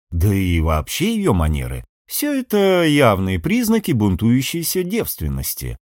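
A man's voice: lowish voice, a little mellow, dry recording, clean studio sound, pitched below the performer's own voice. Man acting a dryly ironic sixty-year-old doctor.

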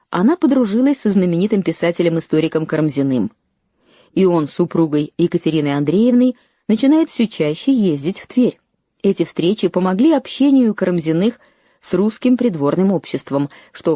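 Lady reading a history doc narration, lowish voice, dry close mic, steady with pushed-up stresses. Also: no echo. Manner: measured reading, lifting pitch on key phrases. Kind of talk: scripted documentary narration.

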